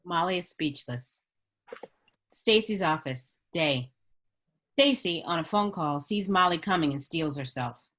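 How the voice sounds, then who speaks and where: A woman's voice: low voice, lively intonation, unhurried, a bit bright. A woman reading stage directions as scripted narration at a screenplay table read.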